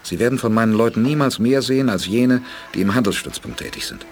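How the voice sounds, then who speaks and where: A man's voice: medium pitch, lively intonation, quick pace, faint music behind, lightly acted. A man acting a resolute, terse space commander in a 1980s German sci-fi radio play. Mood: calm, secretive. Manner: terse, commanding, matter-of-fact. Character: resolute male space commander with a secret plan.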